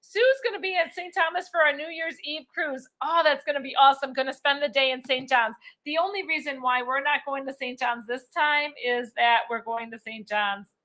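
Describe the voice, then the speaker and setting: A middle-aged woman hosts a relaxed cruise live stream, rambling and laughing as she reads out comments. Her voice is quite bright and sits a little high. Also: moderate pace, few pauses. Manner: chatty, rambling, laughs often. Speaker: cruise vlog host. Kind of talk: casual live-stream chat reading comments.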